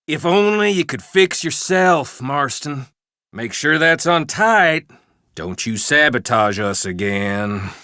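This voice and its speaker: An actor playing a mean Old West outlaw in a video game, mocking and insulting his gang with drawn-out taunts. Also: on the high side, animated, sharp tone, no echo, studio quality, exaggerated voice. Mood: sneering. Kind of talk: video game character barks.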